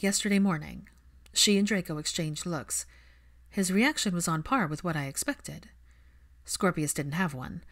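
A woman's voice: lowish voice, a bit bright. A woman narrating fan fiction as scripted audiobook storytelling.

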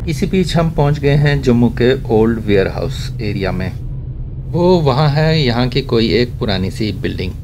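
A man narrates a drive through his city from behind the wheel, chatty and dryly amused at other drivers. His voice sits at an ordinary middle pitch. Faint road and engine noise hums under the talk inside the car. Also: expressive, moderate pace. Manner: chatty, wry remarks about traffic manners. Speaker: vlogger giving a city driving tour. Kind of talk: casual running commentary while driving.

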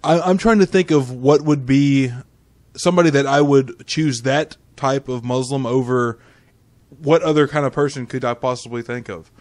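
A man's voice: medium pitch, moderate pace, darkish tone, no room sound. A man co-hosting a podcast with casual, profane banter. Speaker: podcast co-host.

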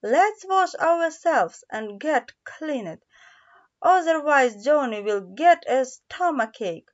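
An eager little storybook vegetable, voiced by a woman, speaks in a high, lively, sing-song way. The pace is slow and the sound slightly dull. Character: eager talking vegetables planning soup together. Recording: dull lo-fi recording.

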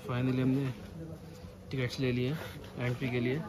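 A man's voice: mid-range voice, monotone, unhurried. A man chatting casually in a travel vlog, narrating to camera outdoors at a lake park. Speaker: vlogger. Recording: loud outdoor background noise.

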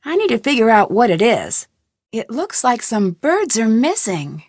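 A woman's voice: a little high, wildly swooping pitch, unhurried, dry recording, clean studio sound, full character voice. A woman voicing a curious, determined young woman detective in a game, thinking aloud as she searches for clues. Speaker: game heroine. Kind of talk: game voice lines.

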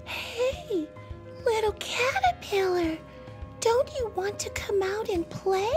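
A woman voicing an excited, encouraging little girl in a storybook, exclaiming and stretching her words. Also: very high voice, soft background music, pitched above the performer's own voice, heavily acted. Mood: sweetly inviting. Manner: bright-eyed, exclaiming, drawn-out words.

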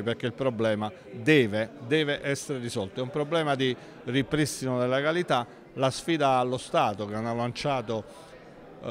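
A man gives formal interview answers as a mayor, in measured institutional phrasing. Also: medium pitch. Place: large indoor council hall. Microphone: handheld interview microphone.

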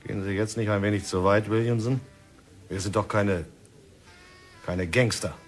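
Actor as persuasive company man, lowish voice, old radio play. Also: steady tone, normal speed, soft background music, natural voice. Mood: coaxing. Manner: persuasive, coaxing. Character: smooth male envoy from a diamond company. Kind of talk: 1960s radio drama acting.

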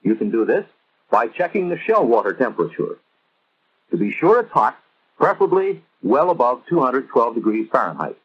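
American male narrator, 1950s instructional style, measured and didactic, husky edge, muffled vintage film sound. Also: mid-range voice, sing-song.

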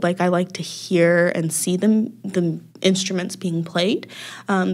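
A young woman talks as a podcast guest. Her voice sits a bit low, and she chats casually, with frequent ums and easy laughs. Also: a little mellow. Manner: chatty, many ums, laughs often. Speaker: podcast guest, music festival worker. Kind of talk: casual interview chat.

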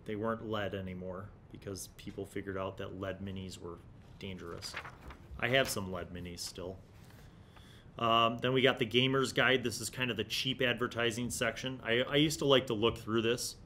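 American man, casual commentary over background rumble. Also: steady tone, normal speed, bright, forceful tone. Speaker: host of a retro gaming review series. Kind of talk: casual commentary while leafing through an old magazine. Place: small indoor studio room. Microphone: large desk condenser microphone. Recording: clear low background rumble and noise.

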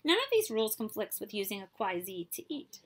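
Woman reading as stern, husky old village leader. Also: mid-range voice, big pitch swings, a little fast. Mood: measured. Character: stern, deliberate old village leader, male, storybook elder.

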